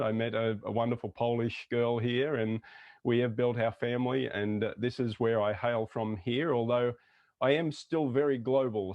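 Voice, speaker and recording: An Australian man talks about himself as a podcast guest, earnest and a little halting, with frequent fillers. His voice sits in an ordinary middle register. The call audio is muffled and dry. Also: mid-range voice, dry recording. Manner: earnest, many fillers and restarts. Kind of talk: casual interview talk.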